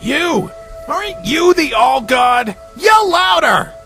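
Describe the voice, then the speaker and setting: A man playing a proud, exasperated young male deer in an animated comic, indignant and sputtering. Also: extremely high pitch, slow, raised voice, faint music behind, full character voice. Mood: incredulous.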